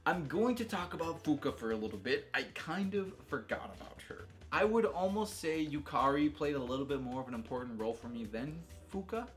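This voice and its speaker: Male video host, slightly high voice, excitable slangy delivery, off-the-cuff gaming commentary over music. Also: brisk, few pauses. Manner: enthusiastic, slangy, with emphatic exclamations. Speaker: YouTube host reviewing a video game. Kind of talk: casual, unscripted video game commentary.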